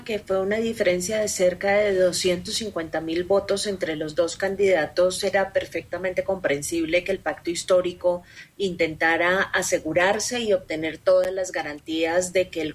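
Brief: Colombian woman analyst, lowish husky voice, careful and explanatory. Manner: measured, explanatory, with hesitations. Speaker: political scientist guest. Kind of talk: analytical interview answers. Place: remote call from Bogotá.

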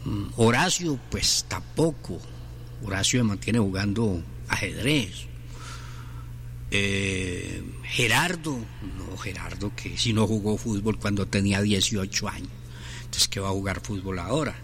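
A man's voice: lowish voice, measured pace, fairly bright tone, a little hiss or hum. Chatty sports radio man. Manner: rambling, joking, chatty. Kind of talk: casual radio talk with sponsor reads.

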